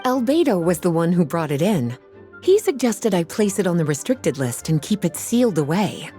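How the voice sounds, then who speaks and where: A woman voicing a calm, knowing librarian witch in a video game, who speaks in a languid, gently teasing way. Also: on the low side, moderate pace, faint music behind. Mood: explaining.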